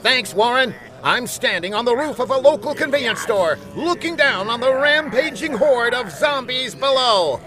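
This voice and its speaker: A man's voice: very high-pitched, animated, normal speed, hardly any pauses, sharp tone, full character voice. An actor playing a self-important, scheming male field reporter in a comedy radio play.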